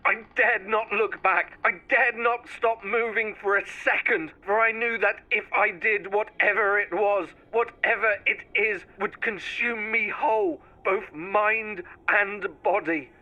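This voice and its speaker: A man narrating a scripted first-person horror story in a deliberate, theatrical storytelling manner. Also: high-pitched, steady tone, bright, forceful tone, lo-fi sound.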